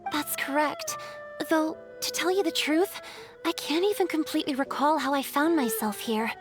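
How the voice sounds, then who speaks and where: A woman voicing a gentle, wistful female water spirit in a video game, speaking earnestly like a storyteller. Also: high-pitched, moderate pace, close to an everyday voice. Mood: wistful confession. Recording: background music under dialogue.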